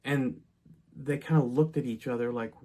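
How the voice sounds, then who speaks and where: A man's voice: mid-range voice, fairly even intonation, moderate pace, dark tone. A middle-aged man from North Texas, casually telling a personal story in a chatty way, with frequent restarts and asides.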